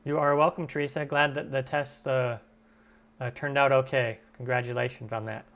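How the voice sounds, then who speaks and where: A man's voice: medium pitch, little pitch movement, moderate pace, fairly bright tone. A man hosting a casual live stream Q&A for his cooking channel, greeting viewers and answering chat comments, recorded from home on a computer webcam mic. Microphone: computer webcam microphone.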